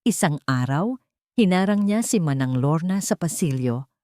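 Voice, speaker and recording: A woman's voice: low voice, animated, moderate pace, mellow, no room sound, clean studio sound. A woman reading a scripted first-person dramatic story as an audiobook narrator.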